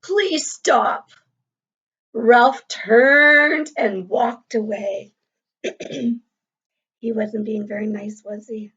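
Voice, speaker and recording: A woman reading a children's story aloud in a deliberate way, checking in with her young listeners, on a slightly dull recording. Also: a little high, fairly even intonation, a bit bright, breathy edge. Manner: deliberate, checks in with listeners using tag questions. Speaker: storybook read-aloud narrator, educator.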